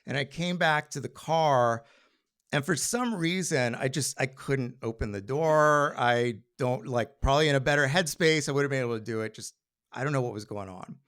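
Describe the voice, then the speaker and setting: A man telling a personal story on stage in an animated, conversational way with comic timing. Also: mid-range voice, moderate pace. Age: adult.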